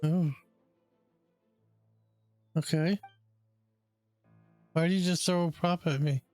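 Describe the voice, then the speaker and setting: A man's voice: on the high side, fairly even intonation, slow, a little mellow, no echo, soft background music. A man giving casual, short reactions as he hosts a gameplay video. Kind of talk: casual live game commentary, short reactions.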